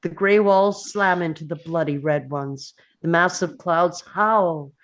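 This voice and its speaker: A woman's voice: fairly low pitch, unhurried. A woman narrating scripted stage directions for a screenplay read.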